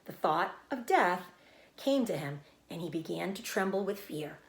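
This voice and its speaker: A woman's voice: on the low side, expressive, normal speed, roomy sound, poor audio. A woman narrating a children's story, reading aloud in scripted storybook style.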